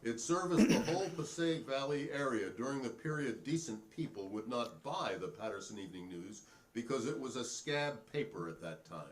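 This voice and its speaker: A man's voice: medium pitch, expressive, moderate pace, hardly any pauses, natural voice. A man playing a defiant, proudly principled hearing witness in a dramatic transcript reading, formal and pointed in manner. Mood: matter-of-fact, proud.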